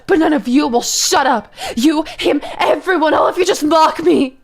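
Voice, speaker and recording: A woman's voice: high-pitched, moderate pace. A woman playing a tormented, paranoid eldest son in a drama, frantic and overwrought as he breaks down. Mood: tearful, bitter. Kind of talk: dramatic character acting.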